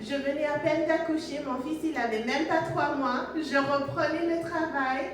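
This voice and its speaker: Young woman, oshiwambo native, high voice, earnest testimony w fillers, far off in echoey church w crowd. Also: fairly even intonation, normal speed. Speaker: guest author. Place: church hall.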